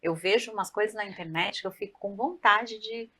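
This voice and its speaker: A Brazilian woman hosting a talk show, relaxed and playful as she steers the conversation. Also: mid-range voice, fairly quick, crowd noise. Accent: Brazilian Portuguese. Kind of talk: casual studio talk show chat.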